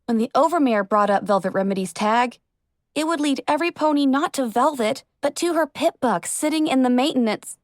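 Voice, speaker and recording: A woman reading an audiobook as narrator, giving expressive first-person story narration. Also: high-pitched, a little fast, no room sound, clean studio sound.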